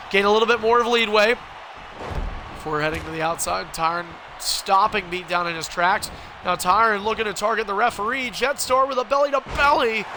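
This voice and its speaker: A man with a cold, sounding hoarse, hosting a game livestream and calling the action play-by-play like a wrestling announcer. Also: high voice, background noise. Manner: wrestling-announcer calls, rising and punchy. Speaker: stream host and commentator.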